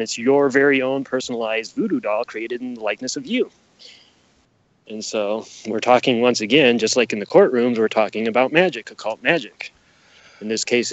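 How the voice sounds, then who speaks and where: A man's voice: mid-range voice, no echo. A man speaking as a podcast guest, explaining his views emphatically, repeating key phrases and posing rhetorical questions. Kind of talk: conspiracy-minded explanatory interview talk. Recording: dull, lo-fi sound.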